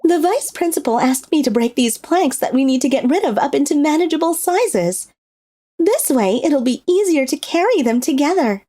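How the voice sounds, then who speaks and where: A woman's voice: sits high, lively intonation, normal speed, warm tone. A woman voicing a young female teacher with a sweet front and a thuggish temper in a manga dub. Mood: sugary. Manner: switches between sugary and menacing.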